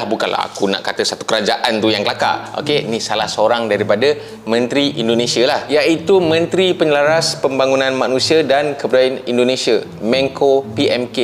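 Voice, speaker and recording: A young Malaysian man with a Malaysian Malay accent, giving a casual, sarcastic commentary vlog. He mocks, mutters low, then jumps up to high exclaims. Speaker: YouTube commentator.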